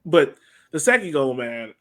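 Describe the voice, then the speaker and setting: A man's voice: on the high side, very animated, unhurried, fairly bright tone. A man co-hosting a sports podcast, breaking down a soccer match in an informal way, thinking aloud as he goes.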